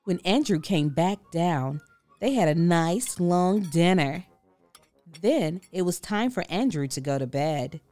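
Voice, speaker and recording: A woman reading a children's story aloud expressively, with theatrical, deliberate emphasis. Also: on the low side, animated, mellow, faint music behind. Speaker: storybook reader.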